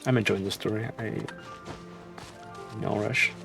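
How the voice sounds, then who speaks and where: A man's voice: on the low side, steady tone, a little slow, creaky. A man giving casual, laid-back video game commentary as a streamer, musing as he goes. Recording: game music behind the voice.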